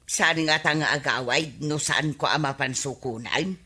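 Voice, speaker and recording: An actress playing an aggrieved village wife with a Filipino accent, plaintive and exclamatory, in a radio comedy drama. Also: female voice, low voice, a little slow, sharp tone, slightly rough, full character voice. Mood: complaining, pleading.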